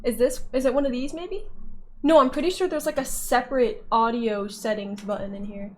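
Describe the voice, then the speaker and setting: A woman's voice: fairly high pitch, fairly even intonation, moderate pace, darkish tone, a little hiss or hum. A woman vlogger talking casually and candidly while playing a game, rambling and joking at her own expense. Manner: chatty, candid, self-deprecating. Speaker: gaming vlogger.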